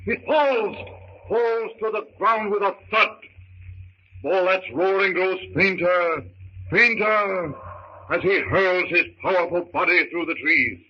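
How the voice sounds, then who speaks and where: A man narrating an adventure serial in an excited, dramatic 1930s radio style, like urgent play-by-play. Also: high voice, slow. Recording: muffled, hissy 1930s radio recording.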